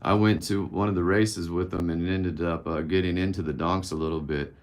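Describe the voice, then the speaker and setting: A man's voice: low-pitched, steady tone, fairly quick. A man around fifty hosting a casual live-stream Q&A, candid and blunt, rambling and swearing casually. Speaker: livestream host answering viewer questions.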